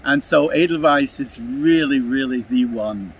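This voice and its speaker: A man from Switzerland with a Swiss German accent, chatting outdoors in a park in a halting way, often restarting his words. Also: mid-range voice, slight background noise. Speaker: street performer. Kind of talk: casual chat. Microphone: filming phone held by interviewer.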